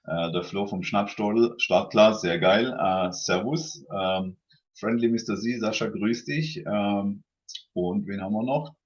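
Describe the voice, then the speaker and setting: A man's voice: lowish voice, measured pace, dull audio. A man hosting a casual livestream tasting, chatty and full of fillers, greeting viewers by name.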